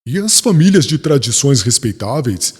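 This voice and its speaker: A man's voice: mid-range voice, sing-song, normal speed, slightly rough. A man acting an impassioned young suitor, swooping and echoing. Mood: indignant, anguished. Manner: theatrical, emphatic, formal diction. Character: passionate, proud young man pleading with his fiancée. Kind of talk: audiobook drama acting. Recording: long reverb, music behind.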